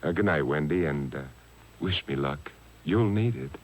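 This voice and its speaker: A man playing an unflappable gentleman detective in a 1950s radio drama, urbane and dryly witty. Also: a bass voice, animated, moderate pace. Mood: suave, amused. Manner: urbane, dry, drawling wit.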